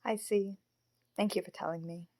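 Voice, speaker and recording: A woman playing an earnest, sorrowful living puppet in an audio drama, speaking in a measured way. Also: mid-range voice, fairly even intonation, crowd noise, natural voice. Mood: subdued. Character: Marionette, earnest, sorrowful animatronic puppet daughter.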